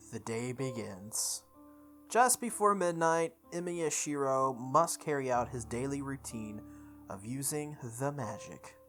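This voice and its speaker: A woman with a low-pitched voice hosts a game playthrough over clearly present music. She reads story text aloud in a deliberate, measured way and adds chatty, casual commentary of her own. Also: a bit bright. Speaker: gaming video host.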